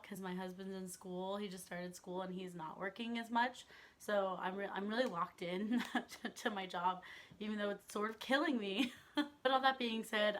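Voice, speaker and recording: A young woman vlogging about books in casual chat, her voice still slightly hoarse as it recovers, laughing often as she talks. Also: mid-range voice, fairly bright tone. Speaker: book vlogger.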